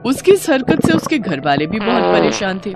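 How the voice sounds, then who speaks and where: Expressive woman storyteller with lively pitch. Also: moderate pace, gravelly, loud music behind the voice. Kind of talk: scripted animated moral story narration.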